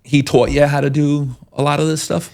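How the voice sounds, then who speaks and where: A man with a strong Long Island accent and a gravelly, fry-tinged voice. He hosts in a chatty way and keeps checking in with "right?" as he leads the guest along. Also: a little high, flat delivery, a little fast, a little mellow. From Long Island, New York. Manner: chatty, leading questions with tag "right?". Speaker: podcast host. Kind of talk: conversational interview.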